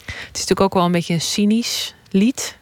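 A woman's voice: mid-range voice, moderate pace. A young adult woman giving casual, thinking-aloud answers as a guest in an interview. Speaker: studio guest, academic researcher.

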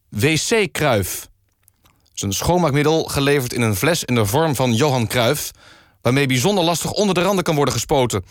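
Male radio host, medium pitch, deadpan, mock-serious presentation, dry close studio sound. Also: no echo.

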